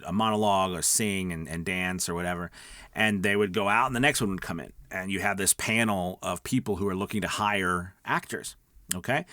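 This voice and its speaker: Guy with a lowish voice coaching on video, chatty but emphatic, really dry recording. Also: a bit bright, no room sound. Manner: emphatic, checks in with okay and right. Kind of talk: conversational instructional vlog to camera.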